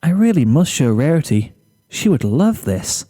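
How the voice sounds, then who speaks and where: A man voicing a gentle, sweet female pegasus pony, pleased with herself, in a storybook reading. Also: a little high, big pitch swings, moderate pace. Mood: giddy, proud.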